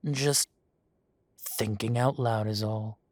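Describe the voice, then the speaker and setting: A man voicing a menacing adult male dragon gang boss in an audio roleplay drama. He speaks softly and condescendingly, with deliberate, slow threats and hushed whispers. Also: lowish voice, steady tone, no echo. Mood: silky menace. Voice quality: soft-spoken, silky and condescending, drops to whispers.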